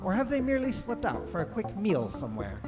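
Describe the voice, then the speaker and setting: A man acts as the dryly wry narrator of a live radio play. His slightly high voice is measured and dramatic. Music plays clearly behind him in a reverberant hall. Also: wildly swooping pitch.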